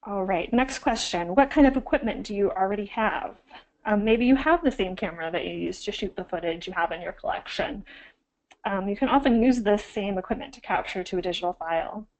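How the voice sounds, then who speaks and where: A woman's voice: mid-range voice, fairly quick, low-quality recording. A woman archivist getting over a cold, slightly froggy, giving an instructional webinar with frequent ums and self-corrections.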